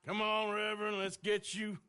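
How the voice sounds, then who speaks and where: An actor playing a tough, sardonic outlaw cowboy in a Western video game, blunt and folksy, with an American drawl. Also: male voice, sits high, level tone, normal speed, bright, forceful tone. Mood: dry, menacing.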